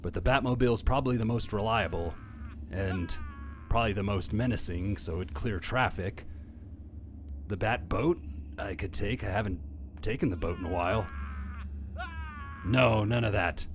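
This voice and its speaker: A man's voice: on the low side, normal speed, pauses often, slight background noise, natural voice. A man doing an indecisive caped crusader. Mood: musing. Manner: deadpan, deliberating aloud. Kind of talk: comedy podcast sketch.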